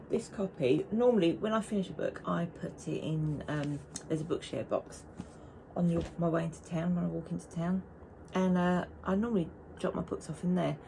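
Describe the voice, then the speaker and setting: Knitting vlogger, woman, lower voice, chatty, restarts her sentences, quiet room hum. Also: vocal fry, rough recording. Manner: chatty and rambling, with frequent restarts. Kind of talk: casual chat to camera.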